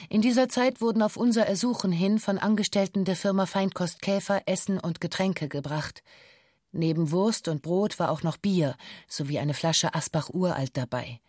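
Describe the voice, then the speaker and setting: An actress playing a woman taken hostage, giving a formal, measured, factual witness account in a documentary radio play. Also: lowish voice, darkish tone, dry recording, studio quality, lightly acted. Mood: sober, frank.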